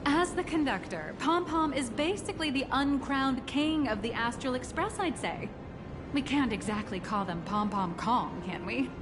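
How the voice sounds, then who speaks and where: Higher-voiced, persuasive corporate agent. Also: female voice, animated, moderate pace. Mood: promotional. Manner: smooth-talking, courteous salesmanship. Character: polished, persuasive female corporate agent and guide. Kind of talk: scripted game voice acting. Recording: game music behind the voice. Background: game music.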